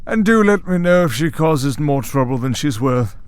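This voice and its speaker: A man's voice: on the high side, lively intonation, moderate pace, clear, resonant tone, natural voice. A man playing a suave villain mastermind in an audio roleplay, taunting with courteous, theatrical menace.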